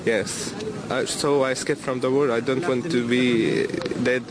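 Earnest man from Syria, loud noise. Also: medium pitch, steady tone, measured pace. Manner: earnest, simple phrasing. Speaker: refugee interviewee. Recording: outdoor recording with loud noise.

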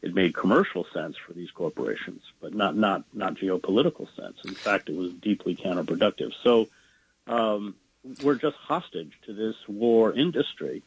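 A man speaking as a journalist guest in a political interview, earnest and halting, with many fillers and restarts. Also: on the low side, expressive, creaky, breathy edge, muffled recording.

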